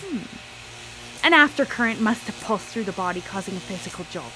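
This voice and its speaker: Driven perfectionist woman inventor, talking to herself. Bright, highish voice, intense and deliberate, music beneath. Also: animated, rough recording. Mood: coldly focused. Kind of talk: radio drama monologue.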